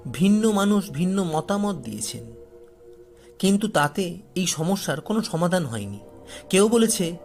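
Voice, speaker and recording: A man narrating a scripted horror story as a storyteller, delivering it dramatically and deliberately, phrase by phrase. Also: sits high. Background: faint background music.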